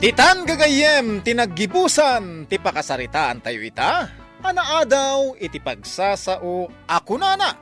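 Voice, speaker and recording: A man's voice: very high-pitched, wildly swooping pitch, unhurried, sharp tone, soft background music. A man with a Filipino Ilocano accent announcing a radio drama in a dramatic scripted style.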